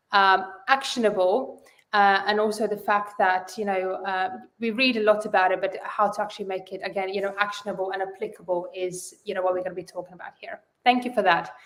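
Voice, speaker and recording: A woman's voice: mid-range voice, roomy sound. A woman hosting a live online panel, welcoming viewers and framing the topic with many fillers and restarts. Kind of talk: live webinar moderation.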